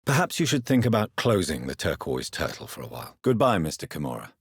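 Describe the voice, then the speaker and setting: British vampire doctor, very low husky voice, grave, courteous, measured formal diction, video game drama, close dry sound. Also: male voice, big pitch swings, no room sound, full character voice. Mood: calm, inquiring. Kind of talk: dramatic game dialogue, formal period speech.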